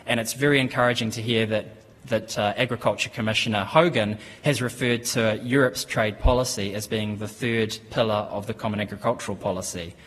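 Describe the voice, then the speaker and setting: New Zealand man in a reverberant hall. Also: fairly low pitch. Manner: measured, steady, with frequent ums and restarts. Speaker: conference speaker. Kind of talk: business presentation. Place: conference hall, at a lectern. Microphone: lectern microphone. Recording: conference hall with long reverb.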